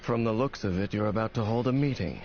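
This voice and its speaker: Casting: actor as low-voiced, guarded male wanderer, deadpan and deliberate, dry wit, anime game. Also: natural voice. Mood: serious. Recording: muffled game capture over music.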